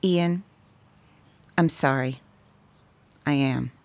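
A woman's voice: very deep voice, very slow, no echo, full character voice. A woman acting an emotional mother in a radio drama. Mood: apologetic. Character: mother, by turns defensive and gushingly excited.

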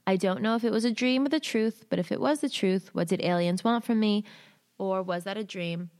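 A woman hosting a show, reading a listener's submitted story aloud in short halting phrases, then chatting candidly with casual slang. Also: mid-range voice, darkish tone. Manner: candid, uses swearing and slang. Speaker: host reading listener stories.

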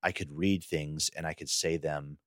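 A man's voice: extremely low pitch, steady tone, moderate pace, fairly bright tone, no room sound, clean studio sound. A man chatting casually as a podcast co-host. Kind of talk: casual chat.

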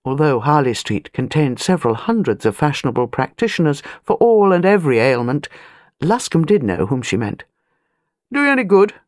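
A woman's voice: extremely low pitch, very animated, brisk, a little mellow, no room sound, clean studio sound. A British woman reading a novel as an audiobook narrator, precise and wry. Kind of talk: scripted literary narration.

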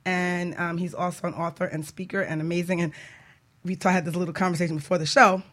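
A woman's voice: fairly low pitch, flat delivery, quick pace, a bit bright. A woman hosting a radio talk show in an informal, chatty, conversational way. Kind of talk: casual chat.

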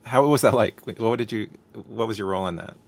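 A man hosting a casual question and answer session, halting and repeating words as he forms questions. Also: on the low side, vocal fry, no room sound. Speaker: panel moderator.

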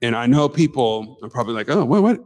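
A man hosting a casual chat show, lively and animated in his talk. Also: medium pitch, fast, no echo. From Pacific Northwest. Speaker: host.

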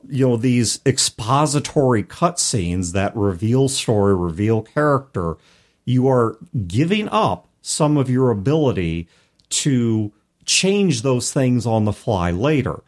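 A man hosting a talk show about tabletop gaming, explaining things in an articulate, conversational way with measured, emphatic phrasing. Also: mid-range voice, expressive, darkish tone. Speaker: podcast host. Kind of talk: conversational advice on tabletop game mastering.

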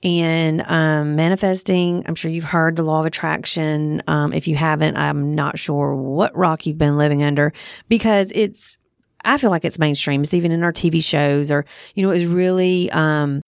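A woman's voice: deep voice, fairly even intonation, moderate pace, creaky, clean tone, dry recording, studio quality. A woman in her thirties or forties hosting a podcast in a casual, frank way, thinking aloud as she goes. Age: 30s to 40s. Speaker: podcast host. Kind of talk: casual conversational podcast chat.

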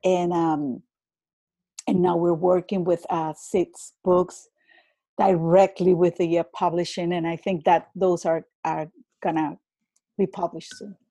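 A woman with a Venezuelan accent, speaking English as her second language, talks slowly and thoughtfully as a guest coach on a podcast. Her voice is low-pitched, mellow and a little husky. The sound is dry and close. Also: little pitch movement, no echo. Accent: Venezuelan Spanish. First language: Spanish. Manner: slow, reflective, with many fillers and repeats. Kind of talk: casual interview conversation.

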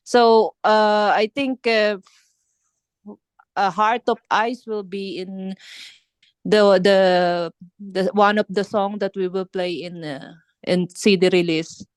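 Filipino-accented woman, slow unhurried pace, smooth clear tone, dry close sound, halting english. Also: mid-range voice, steady tone, no room sound. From Philippines. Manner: halting, searching for words, many fillers and restarts. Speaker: band singer being interviewed. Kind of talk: casual interview chat.

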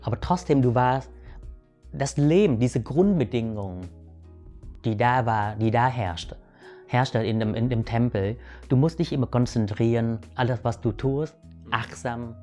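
Halting man. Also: medium pitch, a little mellow, soft background music. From Vietnam. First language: Vietnamese. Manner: halting, many restarts and fillers, asks "weißt du". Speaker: guest. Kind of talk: reflective personal storytelling.

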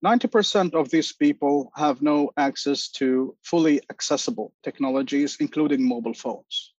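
A man in his forties from Lebanon, giving a measured, formal talk as a panel speaker. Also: fairly high pitch, a little mellow, no echo, muffled recording. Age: 40s. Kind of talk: prepared talk on a video call.

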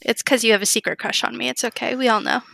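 A woman's voice: on the high side, steady tone, quick pace, vocal fry, sharp tone, no room sound. A woman in her twenties, a guest on an interview, joking playfully and giggling often as she explains her consulting work. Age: 20s. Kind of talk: casual interview chat.

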